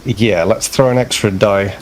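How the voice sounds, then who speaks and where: A man's voice: on the low side, normal speed, a little mellow, a little hiss or hum. A man taking part as a player in a tabletop game, thinking aloud and asking questions. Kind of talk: casual tabletop play.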